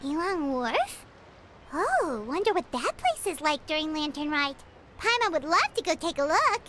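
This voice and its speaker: Squeaky, very high-pitched little fairy girl. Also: sing-song, moderate pace, heavy background noise. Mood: curious. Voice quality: squeaky, childlike. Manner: refers to herself in third person, exclamatory. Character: tiny excitable fairy-like girl companion, greedy and cheerful. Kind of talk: video game character acting.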